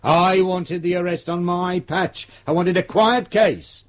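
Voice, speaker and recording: Casting: older British police chief, domineering and cutting, condescending delivery, radio play. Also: male voice, high-pitched, measured pace. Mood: scolding. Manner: condescending, scolding, cold. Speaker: actor.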